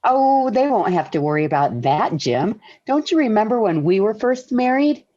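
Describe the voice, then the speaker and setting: A woman's voice: mid-range voice, sing-song, moderate pace. An actress playing a patient, dryly witty middle-aged wife and mother in 1940s radio sitcom style. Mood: gentle. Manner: gentle, wry. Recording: dull vintage radio recording.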